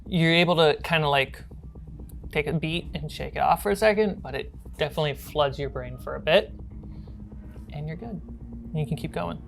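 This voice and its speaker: A woman's voice: low voice, moderate pace, gravelly, long pauses, faint music behind. A woman acting as game master, narrating a tabletop horror game in an informal, descriptive storytelling way.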